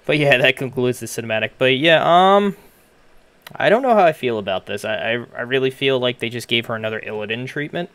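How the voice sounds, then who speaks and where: A man's voice: medium pitch, fairly quick, a bit bright, smooth, no echo. A young man doing casual, sweary, off-the-cuff reaction commentary with a hesitant, informal delivery. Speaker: reaction streamer. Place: small room at home. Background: game cutscene music.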